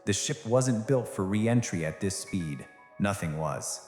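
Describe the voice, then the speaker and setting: Low-voiced man narrating, echoing. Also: animated, a little slow, a little mellow. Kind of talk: scripted dramatic sci-fi narration. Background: music.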